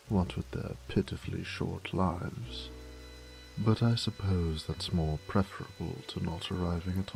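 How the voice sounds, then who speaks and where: A man playing a sadistic, possessive vampire lord in a horror audio drama, taunting in a breathy murmur with mock tenderness. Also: a little mellow, exaggerated voice, low-quality recording. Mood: haughty displeasure. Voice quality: deep, gravelly, breathy low murmur. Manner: slow, condescending, mock-tender menace.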